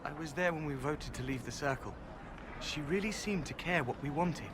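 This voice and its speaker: A man's voice: fairly high pitch, moderate pace, close to an everyday voice. A man playing an earnest, aggrieved young elven rebel mage in a fantasy game. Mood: uneasy. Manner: earnest, indignant. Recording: noisy ambience behind the voice.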